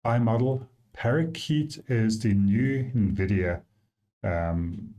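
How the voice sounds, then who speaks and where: A man with a South African accent, the app's developer, casually walking through his software and thinking aloud with frequent fillers. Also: on the low side, animated, slow pace. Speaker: app developer giving a demo.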